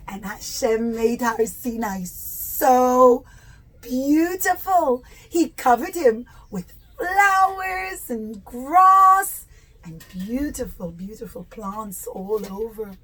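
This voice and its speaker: A woman telling a religious story to young children in expressive, simple, teacherly phrases with stretched words. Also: on the high side, slow pace, low-quality recording.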